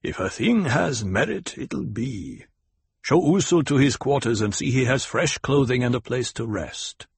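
A man voicing a stern, measured leader of a desert tribe, commanding and deliberate, in an audiobook. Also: medium pitch, animated, creaky, slightly rough, no room sound, full character voice.

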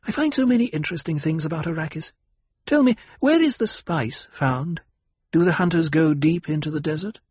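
A man's voice: on the high side, expressive, darkish tone, breathy edge, no echo, pitched above the performer's own voice. A man voicing a poised noble lady. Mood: diplomatic. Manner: measured, sweetly diplomatic.

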